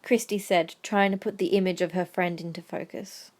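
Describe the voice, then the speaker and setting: A woman's voice: fairly low pitch, steady tone, normal speed. A woman reading scripted third-person story narration as the narrator of an audio drama.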